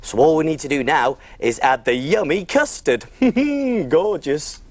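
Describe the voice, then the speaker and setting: Friendly children's TV cook, lively varied intonation, encouraging, simple instructional phrasing. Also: male voice, on the high side, unhurried, dry recording. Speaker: children's cookery presenter. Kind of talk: preschool cooking demonstration.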